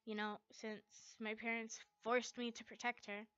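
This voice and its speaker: Girl doing a hot-headed anime teen boy, brash and indignant, bright sharp voice, lo-fi mic. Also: fairly high pitch, level tone, measured pace, smooth, no room sound. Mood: defiant.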